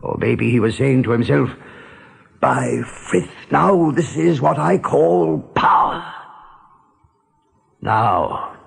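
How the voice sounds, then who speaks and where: An actor playing a gruff, worldly rabbit guard in a radio drama, telling things in a colloquial, dramatic, sardonic way. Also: male voice, mid-range voice, sing-song, slow pace, long pauses, full character voice. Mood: bitter, confiding. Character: gruff male rabbit guard, worldly, grimly relishing storyteller.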